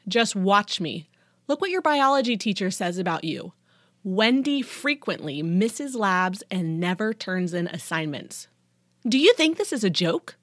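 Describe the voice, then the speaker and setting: A woman voicing an angry, sarcastic middle-aged father in an audiobook, loud and domineering as he scolds his teenager. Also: medium pitch, very animated, measured pace, no echo, clean studio sound. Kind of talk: audiobook character dialogue.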